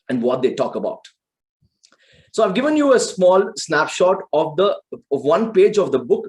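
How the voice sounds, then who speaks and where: A man's voice: a little high, a little mellow. A man with an Indian English accent giving a motivational book review in an earnest way, addressing listeners as friends. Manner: checks in with okay and right. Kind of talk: talk-style book review.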